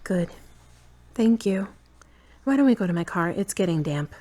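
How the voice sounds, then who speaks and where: A woman's voice: medium pitch, lively intonation, moderate pace, mellow, close to an everyday voice. A woman playing a tired, frank girlfriend who is fond but uneasy, in earnest, questioning audio drama dialogue. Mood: matter-of-fact, uneasy.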